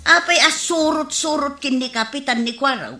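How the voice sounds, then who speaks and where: An actress with an Ilocano accent playing a chatty, disapproving village wife in a radio comedy-drama. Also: female voice, high voice, normal speed, bright, forceful tone, faint music behind. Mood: inquisitive. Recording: roomy, echoing studio sound.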